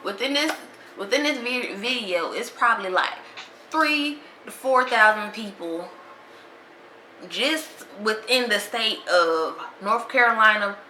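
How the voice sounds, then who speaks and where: Animated young woman in an echoey room. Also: mid-range voice. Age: 20s. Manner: animated, conversational, slangy. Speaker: podcast host. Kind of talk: casual solo monologue. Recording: echoey room with faint noise.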